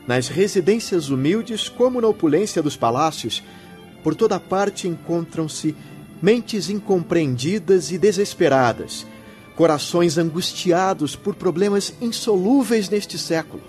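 A benevolent spirit guide in a radio play. His voice sits a little high and he exhorts with solemn, earnest formality. Soft music runs behind him. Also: expressive, unhurried. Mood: solemn exhortation. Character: kindly, earnest male spirit mentor urging charity. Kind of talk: radio drama acting.

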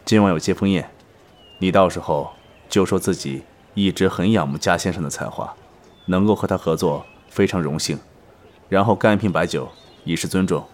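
An actor voices a loyal male pal in an audio drama. His voice is low, and he talks bluntly and casually with familiar slang. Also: normal speed, a little mellow, natural voice. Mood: practical, helpful.

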